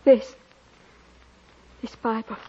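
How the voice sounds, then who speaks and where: A woman's voice: very slow, dark tone. An actress playing a meek, poor young woman, timid and plain-spoken, in 1940s radio style. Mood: meek, hurt. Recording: muffled, noisy vintage radio recording.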